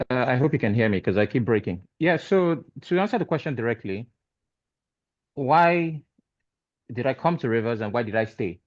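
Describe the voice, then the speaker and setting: A man who works in radio, speaking as a panelist on a video call in a relaxed, joking way. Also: mid-range voice, normal speed, no echo. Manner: relaxed and self-deprecating, joking. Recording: dull lo-fi call audio.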